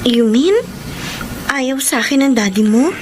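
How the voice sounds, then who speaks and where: Malambing na dalagang mataas ang boses, may ingay sa likod. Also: female voice, sits high, unhurried, noisy background. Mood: concerned. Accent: Filipino. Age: young adult. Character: sweet, hesitant young college girlfriend, devoted niece. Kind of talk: Filipino radio drama acting.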